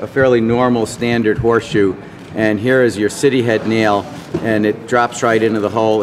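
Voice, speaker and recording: A middle-aged man, a farrier clinician, explaining horseshoe nails in a casual, halting way full of fillers, filmed at a convention booth. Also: fairly low pitch, background noise. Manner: explains with many fillers and restarts while handling shoes and nails. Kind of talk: informal product explanation. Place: convention booth with curtains, indoors. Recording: reverberant hall with background ambience.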